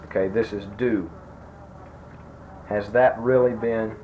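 Soft-spoken older man quizzing grammar. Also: lowish voice, steady tone, slow. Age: 60s. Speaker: interviewer giving a grammar quiz. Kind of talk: slow, patient fill-in-the-blank questioning. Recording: dull lo-fi tape with faint noise.

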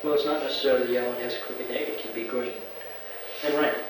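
A man answering questions in a relaxed back-and-forth, slipping in dry jokes. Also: mid-range voice, quick pace. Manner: dry joking asides. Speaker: interviewee. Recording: echoey, distant, noisy recording.

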